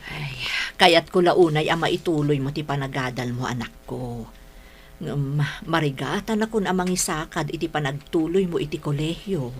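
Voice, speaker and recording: A woman's voice: low-pitched, moderate pace, slight background noise, natural voice. An actress playing a caring, hard-up middle-aged mother in a radio drama, tender and pleading, with an Ilocano accent. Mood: concerned.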